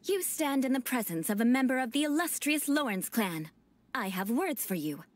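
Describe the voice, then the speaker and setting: A woman's voice: sits high, expressive, moderate pace, slight background noise. An actress voicing a proud young noblewoman in a fantasy game who speaks in stiff, formal aristocratic phrasing. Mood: haughty. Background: game music.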